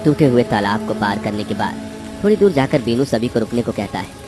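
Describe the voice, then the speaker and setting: A man's voice: mid-range voice, lively intonation, a little fast. A man reading scripted narration for a cartoon horror story. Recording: music behind the voice.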